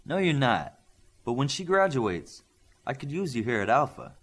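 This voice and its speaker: A man voicing an assured, measured veteran combat instructor in an audiobook. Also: mid-range voice, big pitch swings, husky, voice pushed up.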